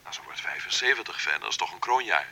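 An actor playing an indignant, dutiful brother on a phone call in a radio drama, rough-voiced, sharp and reproachful. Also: male voice, deep voice, very animated, fast, heavily acted. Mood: surprised, pressing.

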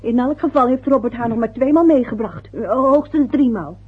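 Weary wife, reproachful, very breathy, slightly high, lo-fi radio drama. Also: female voice, moderate pace. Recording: dull lo-fi old radio recording.

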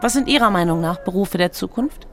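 Actress as a creaky-voiced, animated, frank woman teacher. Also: medium pitch, fairly quick, slight background noise, close to an everyday voice. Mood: curious, frank. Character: brisk, matter-of-fact female schoolteacher. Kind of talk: radio play acting, narrated monologue and dialogue.

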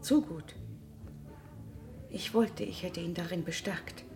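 Bitter, scornful wife. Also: female voice, on the low side, slow, creaky, over music. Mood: bitter, weary. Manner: accusing, cutting, emphatic repetition. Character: bitter, scornful wife of a company man, mother. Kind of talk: German radio drama acting.

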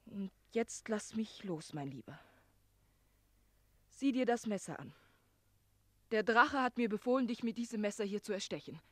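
A tender young maiden with courage in a radio drama. Her voice is breathy and full of feeling, at a medium pitch, recorded dry. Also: expressive, normal speed, pauses often, a bit bright, dry recording. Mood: defiant. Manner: breathy, heartfelt, emotional. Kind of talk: German radio play acting.

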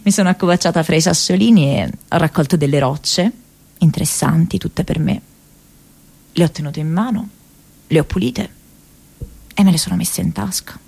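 A woman's voice: fairly low pitch, moderate pace, creaky, long pauses, darkish tone, husky. An actress playing a restless, lovelorn and self-mocking young woman in a theatrical, emotional radio monologue. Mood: quiet, reflective. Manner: theatrical, emotional, with repeated phrases.

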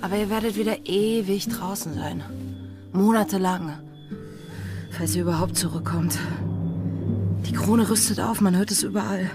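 A woman's voice: mid-range voice, natural voice. Actress doing bold young pirate woman in a radio play, passionate n earnest, close intimate talk, music behind her. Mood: worried, urgent. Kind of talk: German radio drama acting.

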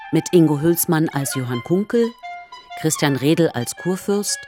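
Low-voiced woman announcing radio play credits. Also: animated, unhurried, music in the background.

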